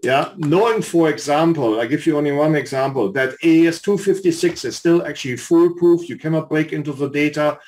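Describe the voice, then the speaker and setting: A man's voice: fairly high pitch, a little fast, hardly any pauses, darkish tone, low-quality recording. Blunt skeptical man giving feedback. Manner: direct, skeptical, lecturing. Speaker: investor panelist. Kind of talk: critical feedback on a pitch. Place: home office on video call. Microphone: video call webcam.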